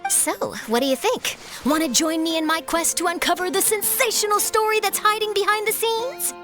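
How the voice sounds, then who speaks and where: Perky, very high-pitched young reporter. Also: female voice, normal speed, music in the background. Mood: excited. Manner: perky, theatrical, salesy. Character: eager, pushy young female news reporter, bubbly and persuasive. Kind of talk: animated video game voice acting.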